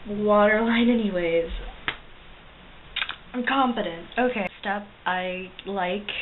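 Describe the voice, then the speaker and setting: A young woman with a slightly low voice talks through a makeup tutorial in a chatty, self-deprecating way, with plenty of ums. The sound is thin and phone-like, with a loud steady hiss underneath. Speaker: cosplay makeup vlogger. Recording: tinny lo-fi recording with loud hiss.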